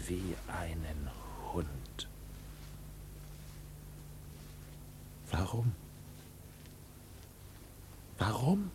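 A man's voice: lowish voice, background noise, full character voice. An actor playing an accused man in a radio drama, defiant and anguished, with impassioned, theatrical delivery. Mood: broken, despairing. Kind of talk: dramatic radio play acting.

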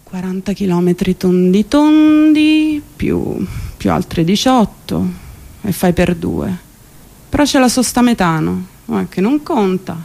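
An actress playing an exasperated, sardonic commuter worn down by city life, in a theatrical radio monologue with ironic, emphatic delivery. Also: female voice, medium pitch, expressive, slow pace, gravelly, warm tone. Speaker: stage actress.

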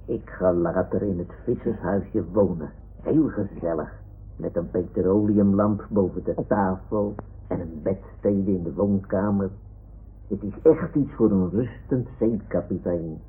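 A man's voice: sits low, unhurried, slightly rough, heavily acted. A man voicing a self-important toy lion who poses as an old seafarer in a radio play, speaking in boastful declarations. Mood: boastful, dreamy. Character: boastful toy lion posing as sea lion and retired sea captain. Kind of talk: 1960s-70s Dutch radio drama acting. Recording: muffled, phone-like old radio recording.

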